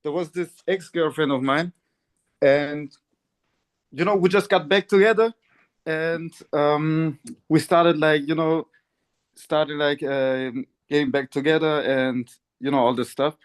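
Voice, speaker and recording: Chatty man, higher voice. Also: fairly even intonation, measured pace, clean tone. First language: Turkish. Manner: rambling, many fillers and restarts, laughs often. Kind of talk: casual chat.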